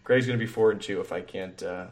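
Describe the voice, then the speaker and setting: A young man talking casually on a sports podcast. His voice sits low, and his talk rambles, full of fillers and easy chuckles. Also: fast talker. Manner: rambling, lots of fillers, laughs easily. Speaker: podcast co-host. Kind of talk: casual chat.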